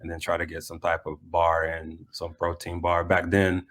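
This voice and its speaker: A man with a low voice and fairly flat intonation talks casually as an interview guest. He is a coach and former athlete, thoughtful and halting, circling back over his phrases. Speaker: soccer coach and former pro player being interviewed. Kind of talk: casual reflective interview answers.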